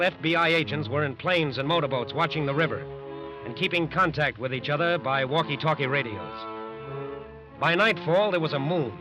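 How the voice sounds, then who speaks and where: An actor as a dramatic, measured narrator building suspense in an old-time radio drama. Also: male voice, on the high side, close to an everyday voice, rough recording. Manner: measured, dramatic, rhetorical repetition. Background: dramatic music.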